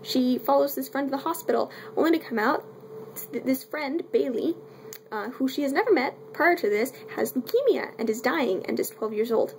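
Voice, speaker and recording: A woman's voice: high-pitched, lively intonation, normal speed, a little mellow, slight background noise, low-quality recording. A woman giving a casual, unscripted book review in a candid, irreverent way with wry asides and mild swearing.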